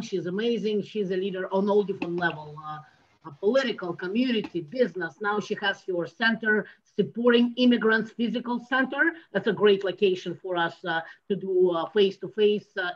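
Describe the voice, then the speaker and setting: A woman hosts an online meeting and introduces her guests. She speaks in a halting way, full of filler sounds. Her audio comes through a muffled, lo-fi video call line. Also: mid-range voice. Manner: halting, many filler sounds, soft-spoken. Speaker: meeting host introducing board members.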